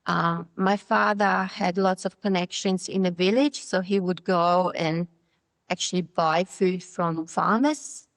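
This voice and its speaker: Low-voiced Polish-accented woman telling her story. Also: fairly even intonation, no echo. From Poland. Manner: thoughtful, halting, with restarts and fillers. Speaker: guest. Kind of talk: personal story interview.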